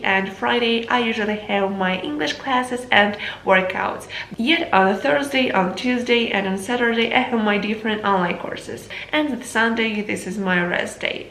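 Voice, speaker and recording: A woman's voice: medium pitch, a bit bright, echoey room, a little hiss or hum, rough recording. Earnest Ukrainian teen girl vlogging. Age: teens. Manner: earnest, advice-giving, slightly non-native phrasing. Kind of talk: self-improvement vlog.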